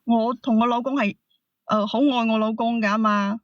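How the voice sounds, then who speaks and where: A woman's voice: steady tone, normal speed, no room sound. A woman calling a show, defending herself in a hesitant, evasive way. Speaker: caller. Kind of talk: casual, defensive argument. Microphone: call into show. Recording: dry, dull low-fidelity call audio.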